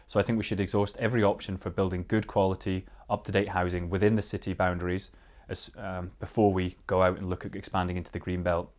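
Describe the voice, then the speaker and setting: Fast-talking low-voiced man. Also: flat delivery, a little mellow. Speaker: parliamentary candidate, NHS worker. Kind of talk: hustings answer, political speech.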